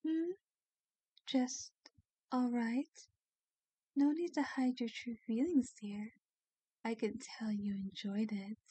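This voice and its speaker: Casting: doting vampire mistress, played by a woman, gentle and refined, lightly high voice, very slow soothing delivery for ASMR audio drama. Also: steady tone, slightly rough, dry recording. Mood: doting, pleased. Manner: gentle, soothing, genteel, coaxing. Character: kindly, doting female vampire, genteel mansion owner. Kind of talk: ASMR roleplay, soft scripted acting.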